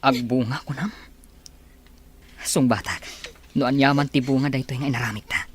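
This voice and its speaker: A man's voice: mid-range voice, fairly even intonation, moderate pace, slight background noise, lightly acted. An actor playing an insistent, secretive young male lover, speaking earnestly in a melodramatic radio drama. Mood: insistent, soothing. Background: dramatic background music.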